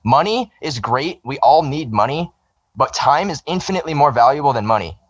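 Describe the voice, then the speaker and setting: A man's voice: medium pitch, lively intonation, fairly quick, dry recording. A man in his twenties from the American South, a guest musician in a rambling, swear-heavy casual podcast chat. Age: 20s.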